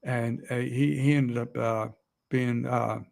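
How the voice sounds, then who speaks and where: A man talking to camera in an emotional, rambling vlog, halting often with fillers and restarts. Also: mid-range voice, steady tone, vocal fry, a little mellow. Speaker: outdoor vlogger. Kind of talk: emotional casual monologue.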